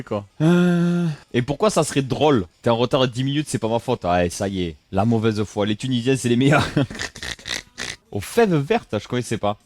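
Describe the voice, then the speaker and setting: A man with a northern French accent, streaming live and reacting to his chat in an animated, teasing way, swearing freely. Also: fairly high pitch, dry recording. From northern France. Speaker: Twitch streamer.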